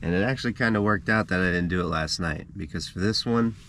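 A man with a deep, low voice chats easily to the camera as he cooks in a parked van. He talks step by step in a relaxed vlog style. A faint hiss of background noise sits under the voice. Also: quick pace. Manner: relaxed, chatty, walks through each step. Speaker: travel vlogger. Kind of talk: casual vlog narration to camera. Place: inside a parked van, small enclosed space. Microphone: camera mounted in the vehicle.

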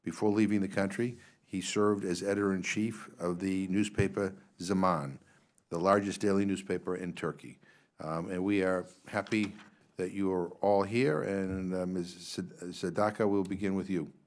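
A low-pitched man in his sixties with a Massachusetts accent reads out speaker introductions at a congressional hearing. He halts often with "uh" and trips over unfamiliar names. He speaks into a desk microphone in a big, formal hearing room. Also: steady tone. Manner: halting, filler-heavy reading, stumbles on names. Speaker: congressional commission co-chair.

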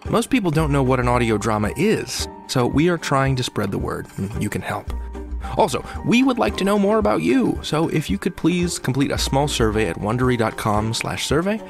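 A man's voice: medium pitch, normal speed, gravelly, faint music behind. A man as podcast host reading scripted outro announcements in a friendly promo manner. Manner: friendly, even promo read.

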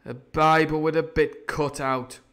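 A man acts out a swaggering pirate sea cook from a storybook, pitched up high and pushed loud. He blusters and commands in rough sailor's speech, with a sardonic edge. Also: measured pace. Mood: derisive, sly. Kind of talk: theatrical storybook reading.

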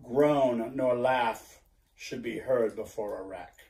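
A grim old sea captain, obsessed and commanding, hurls his archaic orders and declarations in a slow, heavy cadence, as a man puts him on for a storybook reading. The voice rings with a roomy echo around it. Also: medium pitch, a little mellow. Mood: scornful.

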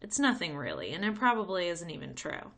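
Folksy grandmother voiced by a woman, creaky voice. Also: on the low side, wildly swooping pitch, a little fast, exaggerated voice. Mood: reminiscing. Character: warm, weary grandmother telling of old times.

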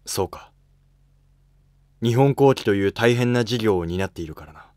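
A man voicing a dignified, considerate emperor in a historical drama, speaking formally with measured authority. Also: on the low side, darkish tone, no echo, close to an everyday voice. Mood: concerned. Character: reigning male emperor, dignified, considerate ruler. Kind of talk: amateur historical drama dialogue.

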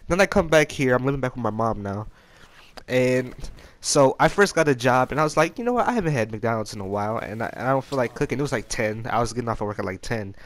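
A man's voice: mid-range voice, animated, quick pace. A young American man telling a personal story in a casual, rambling gaming-video voice-over, with slangy, exasperated phrasing. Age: young adult.

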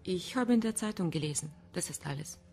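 A woman's voice: lowish voice, animated, brisk, mellow, soft background music. An actress playing a guarded, defiant Romanian immigrant woman in a crime radio drama, with clipped, formal phrasing. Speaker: actor in a crime radio play. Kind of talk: German radio drama acting.

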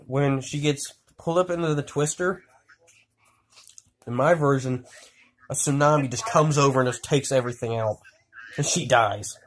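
A man telling an improvised story to friends in a casual, crude and excitable way, repeating himself. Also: medium pitch. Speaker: amateur storyteller. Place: indoors at home. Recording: noisy amateur handheld recording, clicks.

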